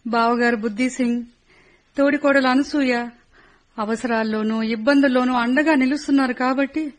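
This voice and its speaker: A woman voices a middle-aged soldier's wife in a radio play, high-voiced, who is constantly afraid for her husband. She speaks in an earnest, confiding way. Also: steady tone, moderate pace, close to an everyday voice. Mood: worried. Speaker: narrator and lead.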